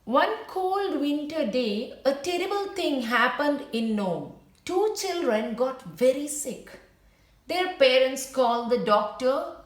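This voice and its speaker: A woman reading a children's storybook aloud in a simple, deliberate way, as if to young listeners. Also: high-pitched, lively intonation, roomy sound. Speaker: children's book read-aloud narrator. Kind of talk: storybook read-aloud with brief asides to viewers.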